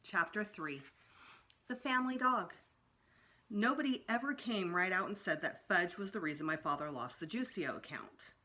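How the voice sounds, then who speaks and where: A woman reading a children's story aloud as storytime narrator, expressive, with emphatic pauses. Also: fairly low pitch. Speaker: storytime reader.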